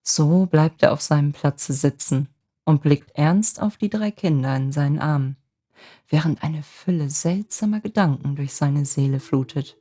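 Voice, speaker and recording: A woman with a gentle voice narrating a scripted bedtime fairy tale as a storyteller. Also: low voice, measured pace. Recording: music bed under the voice.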